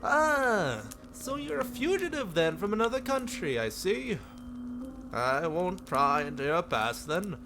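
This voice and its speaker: A man's voice: sits high, very animated, unhurried, over music, voice pushed up, heavily acted. A man voicing a cheerful, sheltered young princess in a visual novel, with an airy, regal, slightly formal manner. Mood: proud, gracious. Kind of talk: visual novel character read-aloud.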